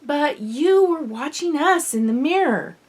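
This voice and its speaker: A woman's voice: high-pitched, expressive, a little slow, darkish tone, pitched above the performer's own voice. A desperate, stubborn girl of twelve, acted out by a woman for an audiobook. Her voice is raised and emphatic, insisting on what she saw. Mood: desperate, insistent. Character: desperate, stubborn twelve-year-old girl, eyewitness to a wreck.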